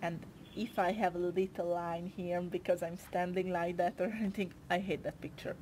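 A woman in her twenties with a Hungarian accent and Hungarian as her first language, answering interview questions in a soft-spoken, hesitant way with frequent pauses and fillers. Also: lowish voice, flat delivery, slight background noise. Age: 20s.

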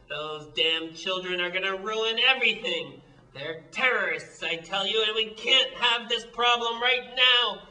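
Puppet-comedy villain: high-pitched ranting boss, slow gloating delivery, bullying, recorded roomy and off-mic. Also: male voice, pushed and bright, far from the mic, heavily acted. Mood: scheming. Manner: theatrical, bullying, gleefully cackling.

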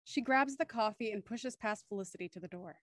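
A woman's voice: medium pitch, fairly quick, no room sound, studio quality. A woman reading scripted scene narration for an audio drama. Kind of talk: scripted narration of stage directions.

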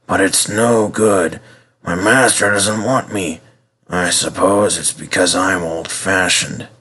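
A man voicing a gentle, melancholy old-fashioned traction engine in a storybook, speaking slowly and simply with a wistful manner. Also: sits low, breathy edge, full character voice. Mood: sad, resigned.

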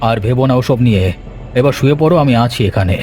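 A man's voice: mid-range voice, animated, a little fast, darkish tone, soft background music, lightly acted. A man voicing a concerned grown son in a horror cartoon, speaking in a soothing, reassuring manner.

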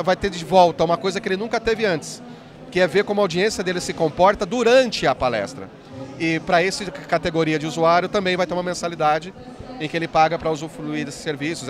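A man's voice: high voice, fairly even intonation, a crowd in the background. A man in his forties, an app company founder, explaining his product eagerly in an interview at a trade fair, speaking into a handheld mic. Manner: fast, enthusiastic explainer with many "né"s. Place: busy indoor expo hall.

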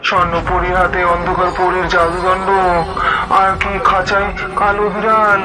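A man's voice: sits high, monotone, moderate pace, lightly acted, low-quality recording. A man voicing a sly, scheming crow servant in a dramatic fairy-tale cartoon. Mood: scheming surprise.

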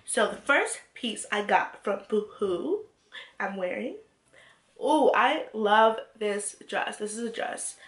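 A woman's voice: medium pitch, echoey room. A young woman with a cold, chatting to camera in a clothing haul video and gushing about each piece. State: congested from a cold. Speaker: fashion haul vlogger. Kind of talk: casual haul chat to camera. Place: small room, glittery backdrop.

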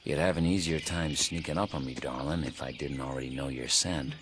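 Gruff, very deep-voiced tough man. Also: brisk. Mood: wry. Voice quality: gruff low voice. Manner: sardonic, terse. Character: gruff, sardonic mutant soldier, tough loner. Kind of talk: video game cutscene acting. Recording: game music behind.

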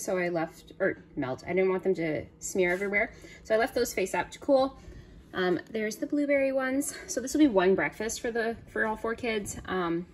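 A woman in her thirties chats casually in a mid-range voice, like a home cooking vlogger. She rambles with offhand asides to herself. It is a phone recording in a home kitchen with clear noise and clicks behind her. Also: medium pitch. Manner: rambling, offhand self-commentary. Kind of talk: casual chatty narration while cooking.

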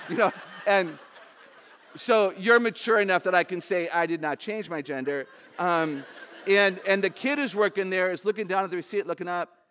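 Woman in her sixties, low voice, animated humorous speaker, large stage, headset mic. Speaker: conference speaker.

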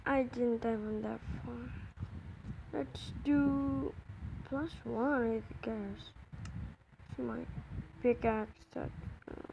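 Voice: mid-range voice, fairly even intonation, drawn-out words, vocal fry, pauses often, highs cut off. A female-sounding gamer giving casual let's play commentary in an informal, self-talking way, repeating words, on a noisy home recording. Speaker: gaming video host.

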